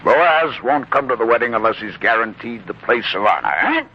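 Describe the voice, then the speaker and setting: An actor playing a proud, loyal, warm-hearted blacksmith father in a theatrical 1940s radio drama style. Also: medium pitch, expressive, a little fast, natural voice. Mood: hearty. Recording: muffled, noisy 1940s radio recording.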